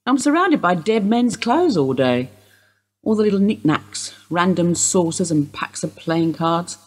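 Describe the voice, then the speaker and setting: A woman acting in a radio play as a weary, evasive older wife under suspicion, husky and rambling in an understated way. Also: lowish voice, very animated, measured pace, heavily acted. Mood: wistful, evasive.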